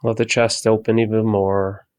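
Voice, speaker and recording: A man leading a guided meditation for focus, giving soft, calm body-scan instructions with long pauses. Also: on the low side, dry recording.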